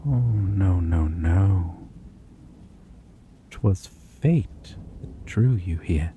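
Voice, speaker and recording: A man voicing a possessive, obsessive male vampire in an ASMR roleplay, speaking softly and menacingly and slipping into whispers. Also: sits low, slight background noise. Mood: coaxing. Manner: slow, soft-spoken, menacing, drifts into whispers.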